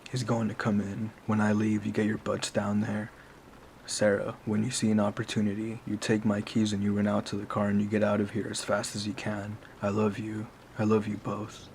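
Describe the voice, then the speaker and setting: Cast: man reading a steady protective mom, low and gravelly. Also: normal speed, a little hiss or hum. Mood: resolute. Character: protective mother, urgent and steady.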